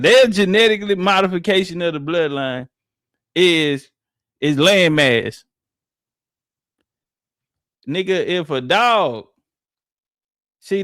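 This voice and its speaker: A man talks over a clip in a brash, slangy, mocking way, aiming his remarks at his viewers. His voice sits high for a man and sounds close and dry, with no room around it. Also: fairly bright tone, no echo. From Southern United States. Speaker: reaction commentator. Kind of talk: casual profane reaction commentary.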